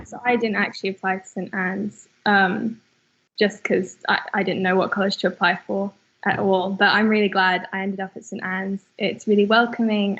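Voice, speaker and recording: Young portuguese-born student woman on a call, even flat delivery, dull laptop sound. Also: medium pitch. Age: young adult. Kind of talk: casual Q&A answers on video call. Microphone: laptop webcam mic on video call. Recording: dull lo-fi video call audio.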